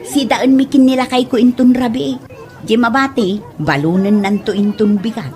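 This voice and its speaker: A woman's voice: on the high side, normal speed, darkish tone, close to an everyday voice. A woman with an Ilocano accent playing a stingy, stubborn village wife in a comedy drama. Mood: matter-of-fact.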